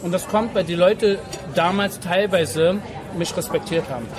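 A man tells personal stories in a casual interview. His speech is slangy and emphatic, with repeated phrases for stress. Loud music and crowd noise run clearly behind him. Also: sits high, moderate pace. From Berlin, Germany. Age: 40s. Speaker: interview guest.

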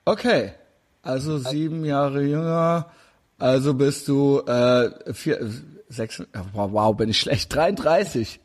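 A man's voice: mid-range voice, slow pace, a little mellow. A man in his forties interviewing a guest in a casual chat, rambling with many fillers and restarts. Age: 40s. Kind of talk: casual interview over video call.

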